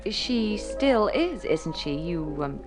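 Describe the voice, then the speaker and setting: Flirtatious society widow, low voice, lively intonation, arch and self-assured, vintage radio play, music underneath. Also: female voice, takes it slowly. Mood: amused, flirtatious. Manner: arch, teasing, knowing. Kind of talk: golden age radio drama acting.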